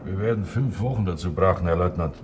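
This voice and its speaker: A man's voice: deep voice, fast talker, lightly acted. An actor playing a dutiful, cautious military man in a radio play, speaking matter-of-factly. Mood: businesslike. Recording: clearly noisy old radio-play recording.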